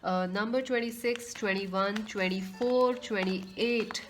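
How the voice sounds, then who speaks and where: A woman's voice: mid-range voice, fairly even intonation, slow pace, background noise. A woman with a sweet voice doing a casual tarot card reading, speaking earnestly to viewers and calling them friends. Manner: earnest, repeats herself, addresses listeners as friends. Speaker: tarot reader.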